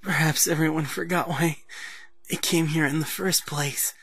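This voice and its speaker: A woman's voice: low-pitched, steady tone, normal speed, fairly bright tone, breathy edge, dry recording, full character voice. A woman voicing a melodramatic, whiny rich schoolboy who is sick in bed, theatrical and plaintive, in a manga fan dub. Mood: weak, plaintive.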